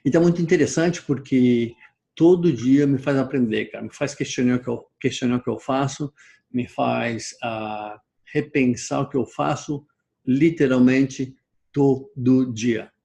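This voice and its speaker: A man's voice: medium pitch, fairly even intonation, unhurried, gravelly, dark tone. A man who runs a gym, talking casually and in a rambling way, a bit rusty in the language. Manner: rambling, says he is out of practice with the language. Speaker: gym owner, trainer. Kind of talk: casual discussion.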